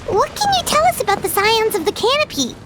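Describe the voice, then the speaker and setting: Squeaky, very high little fairy companion. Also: female voice, sing-song, brisk. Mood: curious. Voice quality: squeaky, childlike. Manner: talks of self in third person. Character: tiny childlike floating fairy companion, chirpy and anxious. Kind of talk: anime game dub acting. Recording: loud game music behind.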